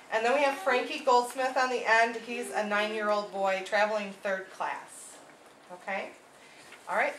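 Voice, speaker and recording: A woman's voice: a little high, fairly bright tone. A woman acting as host of a library event, introducing a readers theater performance. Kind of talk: informal introduction, then read aloud script. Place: indoor library event room. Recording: echoey room with faint noise.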